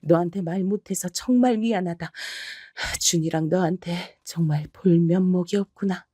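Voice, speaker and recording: A woman voicing a guilt-ridden older mother-in-law who speaks slowly, sighing and apologizing. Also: lowish voice, dark tone, no echo, studio quality, lightly acted. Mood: remorseful. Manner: slow, heavy, apologetic sighing.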